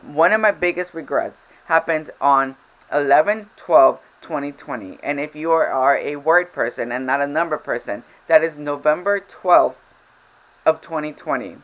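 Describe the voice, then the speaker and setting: A woman's voice: sits low, moderate pace, rough recording. A woman whose first language is Spanish, chatting over gameplay in a casual voiceover. She rambles and interrupts herself, a little frazzled. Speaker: game vlogger.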